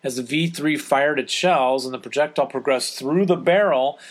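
A man's voice: medium pitch, moderate pace. A man hosting a podcast, reading listener letters and chatting casually with an explanatory, enthusiast manner. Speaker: podcast host.

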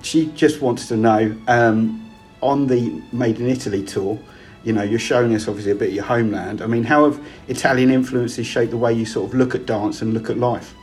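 A man hosts a casual interview and reads out listeners' questions. He speaks in a relaxed, hesitant way, often starting over, at an average pitch. Soft music plays faintly behind him. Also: fairly quick. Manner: relaxed, hesitant, repeats himself.

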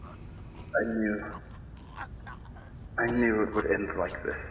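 A mortally wounded army major in a radio play, dutiful and stoic. His clipped phrases come very slowly, with a hard, bright edge, in a roomy echo. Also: lowish voice, fairly even intonation, a little hiss or hum. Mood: resigned, urgent. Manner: halting, clipped, telegraphic phrases. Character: dying army major, slightly younger man, stoic, dutiful, devoted. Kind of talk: radio drama acting.

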